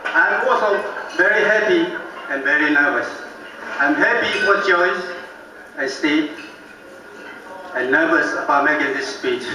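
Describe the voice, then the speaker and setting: An older Taiwanese-accented father, a native Taiwanese speaker, delivers a slow, halting wedding toast with gentle self-mocking humor. His voice sits high and bright. It is picked up from far off in a very echoey banquet hall, with faint noise behind. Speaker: father of the bride.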